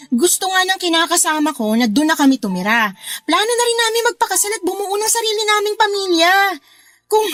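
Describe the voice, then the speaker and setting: An actress playing a brash, stubborn elder sister with a Filipino accent in a radio drama, snappy and dismissive. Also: female voice, very high-pitched, fairly bright tone, exaggerated voice.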